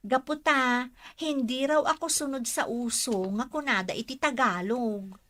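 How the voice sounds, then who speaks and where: A woman's voice: sits high, measured pace, a bit bright. A woman playing a friendly teenage schoolgirl in a Filipino radio drama, with a Filipino accent. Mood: friendly, admiring.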